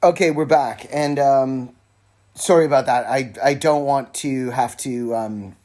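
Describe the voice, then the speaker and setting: A man's voice: mid-range voice, unhurried, dark tone. Rambling man, casual solo podcaster. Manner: rambling, self-interrupting, wry informal asides. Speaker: podcast host. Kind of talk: casual solo rambling talk about music. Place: informal solo recording with a phone at hand.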